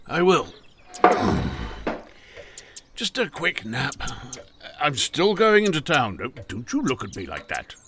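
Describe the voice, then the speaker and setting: Actor as a faltering, frail old uncle, swooping pitch. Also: male voice, huge pitch swings, takes it slowly, pauses often, background noise, exaggerated voice. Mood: weakly reassuring. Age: older. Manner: faltering, rambling, groaning. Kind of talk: audio drama acting.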